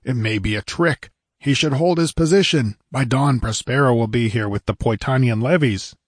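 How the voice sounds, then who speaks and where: A man voicing a proud, wounded warrior king in a fantasy audiobook, forceful and groaning. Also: mid-range voice, normal speed, breathy edge, dry recording, clean studio sound, lightly acted. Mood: impatient. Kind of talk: dramatic fantasy audiobook character voice.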